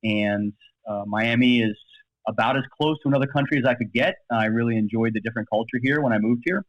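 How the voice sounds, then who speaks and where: A man's voice: mid-range voice, fast, no echo, muffled recording. A middle-aged American man from Maryland, an attorney giving rambling, conversational interview answers. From Maryland, United States. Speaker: podcast guest, attorney.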